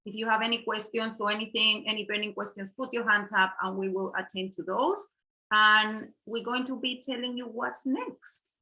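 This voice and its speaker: Bright-voiced woman hosting a workshop. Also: medium pitch, steady tone, rough recording. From Chile. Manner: halting, with fillers and restarts. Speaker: workshop co-host. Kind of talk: casual online workshop hosting. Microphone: video call.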